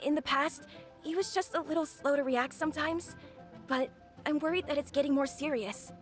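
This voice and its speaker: A woman playing a young boy from an anime game, high and boyish. The character speaks earnestly and politely, with a worried, pleading manner. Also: moderate pace, soft background music, close to an everyday voice.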